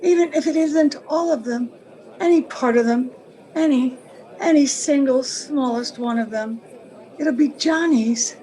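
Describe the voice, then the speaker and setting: Noir client, played by a woman: high voice, very slow halting delivery, wistful, lovelorn radio melodrama. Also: warm tone, low-quality recording. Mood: pleading. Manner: halting, breaking phrases, earnest. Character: wistful, sentimental married woman, pleading and lovelorn. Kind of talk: old-time radio melodrama acting.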